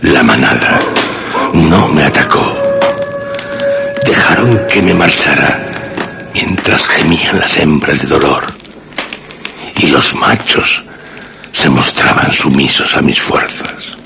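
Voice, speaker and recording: Radio drama role: fearless older hunter uncle, solemn storyteller, gravelly, on a noisy recording. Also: male voice, a bass voice, animated, slow, pauses often, exaggerated voice. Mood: solemn, proud.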